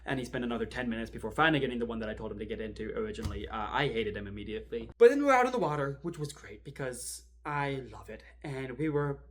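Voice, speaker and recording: A man playing a wry, rattled archival assistant in a horror drama, rambling and sardonic as he records a halting confessional monologue. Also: medium pitch, lively intonation, fairly bright tone, a little hiss or hum, lightly acted. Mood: reflective storytelling. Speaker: performer in a fan audio-video drama. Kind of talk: found-footage confessional monologue, conversational storytelling.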